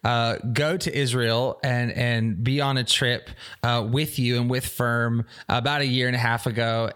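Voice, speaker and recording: A man's voice: medium pitch, a bit bright, smooth. A man, a pastor hosting an interview in a friendly, enthusiastic, rambling way. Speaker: pastor, podcast host. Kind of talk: casual interview conversation.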